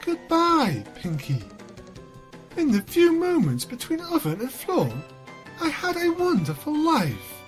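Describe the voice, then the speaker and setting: Woman voicing a slow, noble dying talking cupcake. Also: sits high, huge pitch swings, faint music behind, pitched above the performer's own voice, full character voice. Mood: fading, wistful.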